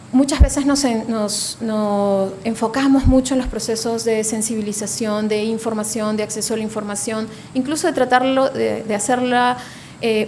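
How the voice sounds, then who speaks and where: A woman presenting at a conference panel, building her points in measured lists. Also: a little high, a little mellow, a little hiss or hum. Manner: measured, lists ideas one after another.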